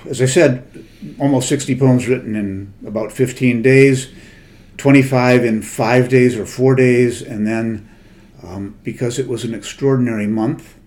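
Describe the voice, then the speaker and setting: A man's voice: medium pitch, a little mellow. An older man giving a conversational lecture as a literary translator, thoughtful and digressive, with frequent hesitations and self-corrections. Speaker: literary translator and guest speaker.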